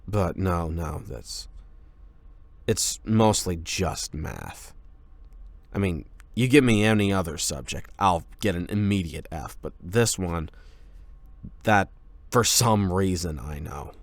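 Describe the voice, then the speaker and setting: A man playing a cocky, rebellious high school delinquent in an anime boyfriend roleplay, talking in a casual, sardonic, slangy way. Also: animated, slow pace, dry recording, full character voice. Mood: modest, casual.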